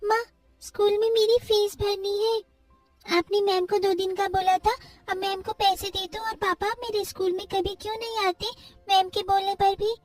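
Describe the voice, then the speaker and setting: A woman's voice: very high voice, flat delivery, moderate pace, dry recording, faint music behind. A woman voicing an innocent five-year-old schoolgirl in a cartoon story, with childlike questioning. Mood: innocent, pleading.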